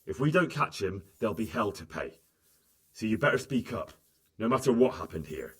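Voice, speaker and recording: A persistent young squire. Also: male voice, fairly low pitch, sing-song, moderate pace, a bit bright. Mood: probing. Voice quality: probing, insistent. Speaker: protagonist questioning witnesses. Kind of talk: video game cutscene acting.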